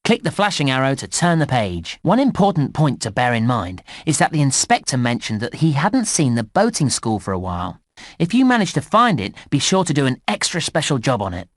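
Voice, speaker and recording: Animated, cheerful British game guide. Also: male voice, medium pitch, brisk, dry recording, clean studio sound. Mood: upbeat, instructive. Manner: bouncy, clearly enunciated, encouraging. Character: cheerful, eager male theme park guide in a children's game. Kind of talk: scripted children's game narration.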